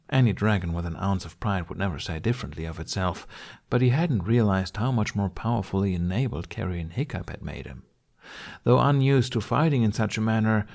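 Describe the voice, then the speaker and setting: A man's voice: fairly low pitch, darkish tone. A man reading scripted fantasy fiction as an audiobook narrator.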